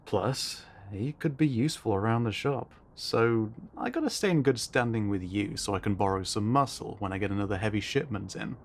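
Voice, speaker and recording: A man's voice: lowish voice, lively intonation, moderate pace, hardly any pauses. A man playing a blunt, playful mechanic who runs a shop, talking in a casual, sardonic way in an audio roleplay. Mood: teasing. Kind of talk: ASMR roleplay audio drama acting. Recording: clear background ambience noise.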